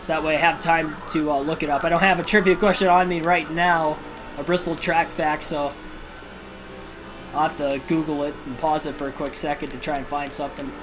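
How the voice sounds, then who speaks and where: A man hosting a livestream and giving realistic play-by-play commentary on a racing video game, in a steady broadcaster style with casual personal asides. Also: on the high side, a little fast. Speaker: livestream host and race commentator. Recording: video game music clearly behind voice.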